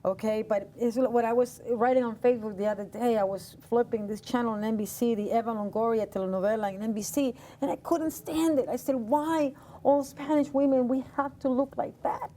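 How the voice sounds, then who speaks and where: A woman's voice: fairly high pitch, steady tone, a little fast, hardly any pauses, warm tone. A woman from Hungary hosting a casual interview, passionate and emphatic, repeating her questions. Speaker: host.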